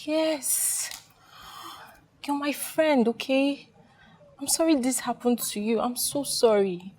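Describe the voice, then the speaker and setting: A woman's voice: sits high, slow pace, a little mellow. An actress playing a consoling woman friend who talks in a soothing way, repeating her apologies over and over. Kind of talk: melodrama scene.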